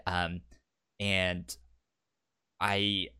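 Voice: deep voice, level tone, drawn-out words, pushed and bright, clean tone. A podcast co-host chatting casually and recapping shows, often stammering and repeating words and syllables. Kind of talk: casual chat, pitching and recapping anime.

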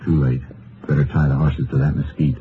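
A man's voice: rumbling low, level tone, a little fast. An actor playing a laconic, stern lawman in an old-time radio western, speaking in clipped, matter-of-fact phrases. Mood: businesslike. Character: laconic Texas lawman investigator on horseback, stern and steady. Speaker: lead actor and narrator. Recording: muffled, noisy vintage radio recording.

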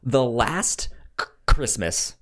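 Man with slow, stumbling, animated delivery. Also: medium pitch. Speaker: podcast co-host. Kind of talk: casual comics pitch chat.

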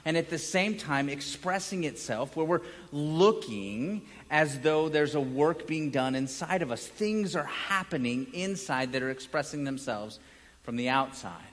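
A man's voice: a little high, animated, unhurried, fairly bright tone. Male pastor preaching in a reverberant hall. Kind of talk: conversational sermon. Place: large church hall, preaching to congregation.

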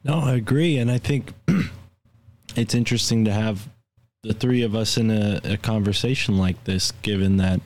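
A man from the United States co-hosting a podcast, talking in a relaxed, thoughtful way and asking open questions. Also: lowish voice, normal speed. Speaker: podcast co-host. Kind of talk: casual podcast chat.

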